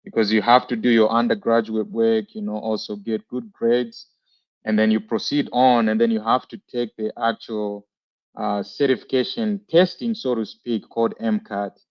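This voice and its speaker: A man's voice: fairly low pitch, flat delivery, normal speed, highs cut off. A man hosting a podcast over a video call, asking long questions filled with fillers. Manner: warm-spoken, rambling, many fillers. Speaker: podcast host. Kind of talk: interview questions.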